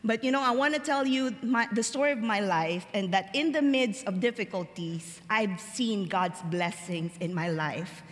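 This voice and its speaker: A young woman from the Philippines, giving a personal testimony as a chapel speaker, chatty and slowing down for emphasis. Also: medium pitch. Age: young adult. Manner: conversational, laughs easily, slows for emphasis. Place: large chapel. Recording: long hall reverb.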